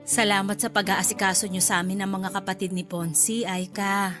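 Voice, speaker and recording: A woman's voice: medium pitch, level tone, a little fast, natural voice. An actress playing a kind, chatty older mother-in-law in a radio drama, speaking in a motherly way. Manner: warm, motherly, polite thanks. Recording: faint music bed behind.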